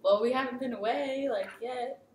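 A woman's voice: high voice, normal speed, darkish tone. A woman in her twenties from Ohio, answering interview questions briefly and laughing often. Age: 20s. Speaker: guest, student. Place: small classroom, indoors. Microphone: camera on table in front. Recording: echoey classroom with faint noise.

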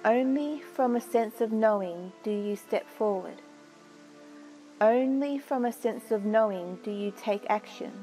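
Female meditation guide, slightly high and mellow, measured and soothing, music behind. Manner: soothing, measured, with long pauses.

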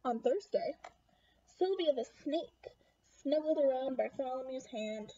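A young woman reading a picture book aloud to children in an animated, expressive way. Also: high-pitched, unhurried, muffled recording. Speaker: children's read-aloud host. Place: small room at home.

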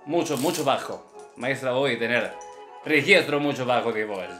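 A man's voice: medium pitch, moderate pace, fairly bright tone, natural voice. Man playing a strict, theatrical Russian ballet teacher. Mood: exacting, blunt. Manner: broken Spanish with infinitive verbs, chanted counting syllables. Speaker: ballet teacher reviewing dancers' videos. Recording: roomy echo with faint music behind.

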